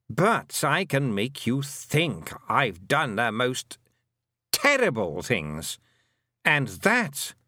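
A man's voice: medium pitch, pushed and bright, no room sound, clean studio sound, pitched above the performer's own voice, heavily acted. A man voicing an imperious fairy queen in a storybook, haughty and demanding, with a sweetly menacing condescension.

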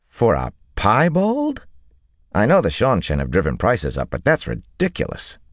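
Man playing a wry haggler, raised animated voice. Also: fairly low pitch, normal speed, no room sound, clean studio sound, voice pushed up. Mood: incredulous. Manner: doubtful, grumbling bargainer. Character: wry, haggling young male horse buyer. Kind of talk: audiobook character dialogue.

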